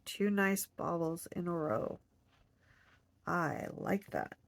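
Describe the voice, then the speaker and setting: A woman's voice: lowish voice, animated, takes it slowly, creaky, no room sound. A woman crocheting on camera, a little stuffed up, chatting to herself and counting stitches under her breath. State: stuffed up nose. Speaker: crochet vlogger. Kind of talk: casual hands-on crafting demo.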